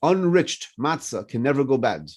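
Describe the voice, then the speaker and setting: Animated man teaching with stories. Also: mid-range voice, moderate pace. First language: French. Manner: animated teaching with personal stories and repeated questions. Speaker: rabbi. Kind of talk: morning Torah class.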